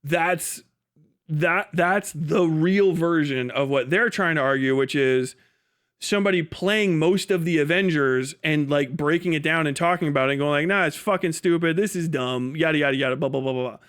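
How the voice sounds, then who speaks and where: A man's voice: a little high, normal speed, a bit bright. A man hosting a livestream, giving off-the-cuff commentary in an emphatic, repetitive, argumentative way with casual swearing. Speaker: live stream host.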